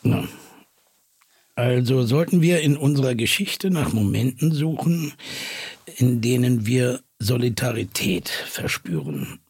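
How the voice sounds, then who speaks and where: A man's voice: mid-range voice, very animated, measured pace, darkish tone, slightly rough, no room sound. A man hosting a podcast interview, putting probing questions to his guests in a conversational way.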